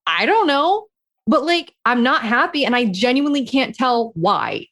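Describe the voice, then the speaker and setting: A woman's voice: fairly high pitch, big pitch swings, normal speed, fairly bright tone. A woman co-hosting a podcast as a coach, chatting casually in a frank, emphatic way. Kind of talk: casual chat between co-hosts.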